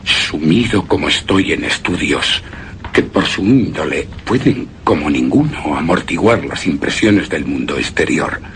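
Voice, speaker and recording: A grief-haunted widower narrates in a suspense radio play. His gravelly voice swings dramatically between forceful tones and breathy whispers, halting often. The recording carries some background noise. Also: a bass voice, animated, exaggerated voice. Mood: brooding. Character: brooding, grief-haunted widower recalling his lost beloved wife. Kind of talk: suspense and horror radio theatre acting, first-person narration.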